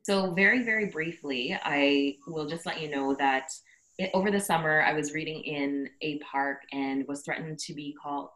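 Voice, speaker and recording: A woman with a Canadian accent speaks in a slightly low-pitched voice. She is an interview guest giving personal answers, reflective and working out her thoughts as she talks. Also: moderate pace, fairly bright tone, poor audio. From Canada, Toronto area. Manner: reflective, thinks aloud. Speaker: podcast guest, social impact worker.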